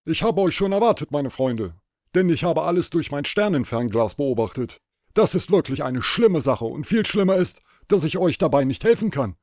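A man's voice: a little high, sing-song, moderate pace, a little mellow, dry recording, studio quality. A man voicing a kindly, concerned old Man in the Moon in a children's audio play, giving advice. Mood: grave.

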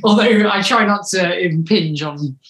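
A woman's voice: lowish voice, measured pace, fairly bright tone. British woman in an echoey room. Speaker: interviewed audio drama writer. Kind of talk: casual interview talk. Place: small room indoors. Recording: echoey room on video call.

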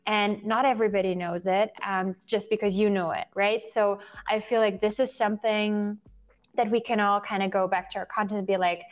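A woman's voice: medium pitch, fairly even intonation, moderate pace, lo-fi sound. Co-host: young woman, earnest and reflective, casual video call. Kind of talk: casual chat. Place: home room.

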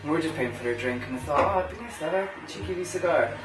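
An easygoing young Scottish man with an Aberdeen accent trades cheeky banter about cigars at a home bar. He is recorded by a camera mic from across the table, so his voice sounds roomy and a touch far off. Music can be heard clearly behind him. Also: on the high side, animated, very fast. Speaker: co-host. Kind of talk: casual chat. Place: home bar room, indoors. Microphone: camera mic at table distance.

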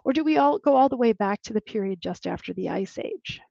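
A woman archaeologist presents her findings over a video call from a room at home. Her voice sits a little high with some vocal fry, and she explains carefully, now and then restarting a word. The laptop audio is dull, lo-fi and dry. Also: animated, fairly quick, warm tone, dry recording. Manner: clear explanatory lecturing with small restarts. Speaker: archaeologist giving a talk. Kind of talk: presenting a lecture with slides.

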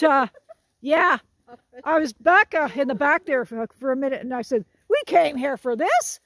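Jokey 60-something woman talking to her phone on a hike, highish voice, dry close sound outdoors. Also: dry recording. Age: 60s. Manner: chatty, jokey, laughs often. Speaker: vlogger hiking with a group. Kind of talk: casual talk to camera. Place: outdoors on a wooded hilltop trail. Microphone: phone held while walking.

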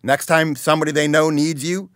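A man's voice: on the high side, fairly even intonation, brisk, a bit bright, no echo, clean studio sound. A middle-aged man, a guest on a podcast, explaining in earnest, casual interview talk. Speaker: chamber of commerce president, guest. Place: small dark studio with couches. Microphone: studio mic on stand.

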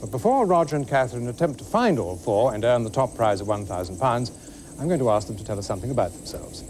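A man presenting a game show at an even, middle pitch, measured and formal in delivery. The recording carries a clear hiss of background noise, like an old broadcast. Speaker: studio host. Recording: noisy old TV studio recording.

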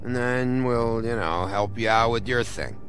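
An actor playing a belligerent, drugged barfly in a video game, sarcastic and profane, with slurred, drawn-out emphasis. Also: male voice, medium pitch, fairly bright tone, soft background music, close to an everyday voice. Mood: woozy, insistent. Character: belligerent, drugged, swaggering barfly, spoiling for a fight. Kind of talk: video game dramatic acting.

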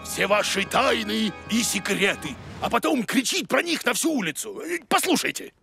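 A man's voice: high voice, expressive, moderate pace, gravelly, pushed and bright, soft background music, full character voice. A man voicing a boastful, cowardly bearded creature who plays teacher in a children's cartoon, pompous and theatrical. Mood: ominous, dramatic.

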